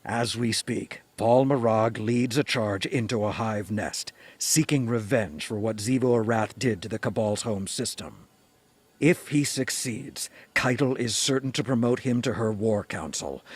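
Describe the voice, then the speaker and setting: Low-voiced, grave military commander. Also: male voice, husky, dry recording. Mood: urgent, commanding. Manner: measured, authoritative. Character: grave, commanding military leader of human guardians. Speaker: video game character voice. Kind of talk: dramatic scripted mission briefing.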